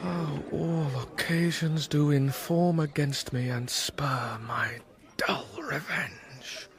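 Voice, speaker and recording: Brooding young prince, higher-pitched, theatrical, courteous yet anguished, Shakespearean radio drama. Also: male voice, slow.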